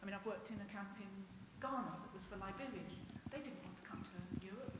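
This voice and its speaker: A woman with a breathy, husky voice speaks informally from the audience of a panel. Her mid-pitched voice carries long hall reverb. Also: brisk. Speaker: audience member. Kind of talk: informal question from the floor. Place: large panel hall, public address microphone.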